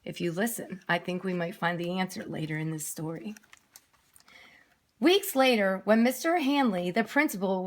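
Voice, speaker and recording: A woman's voice: on the high side, a bit bright. A woman reading a story aloud expressively to young children, as a nursery teacher who explains things simply.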